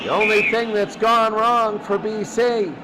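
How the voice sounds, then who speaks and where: Clear background noise surrounds a man calling a football game. His voice is high, and he follows the action in short, clipped play-by-play calls. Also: fairly even intonation, measured pace. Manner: clipped, present-tense calls. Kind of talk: live sports commentary. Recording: loud noise behind the voice.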